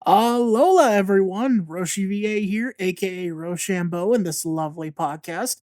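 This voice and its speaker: A woman voice actor gives a friendly, upbeat plug for her work. The recording is dead dry with no room sound, and her pitch sits at a middle level. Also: normal speed, a little mellow, no room sound. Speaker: voice actor guest.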